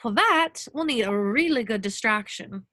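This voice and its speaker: A woman plays an earnest, bossy teenage schoolgirl in an amateur fantasy drama read. Her voice is fairly high and insistent, recorded dry. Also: expressive, normal speed, bright, forceful tone, no echo. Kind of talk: amateur online screenplay table read.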